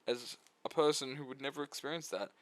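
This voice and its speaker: A man's voice: mid-range voice, brisk, a bit bright. A man giving a casual video essay review of visual novels, full of flippant, irreverent asides.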